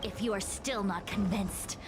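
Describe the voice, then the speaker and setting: Casting: woman voicing a possessed female oracle for a game, declamatory and condescending, coldly certain of fate, mid-pitched, music prominent behind. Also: unhurried, lightly acted. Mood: imperious lecturing. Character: imperious female seer possessed by a spirit, coldly fatalistic. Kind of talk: dramatic video game voice acting.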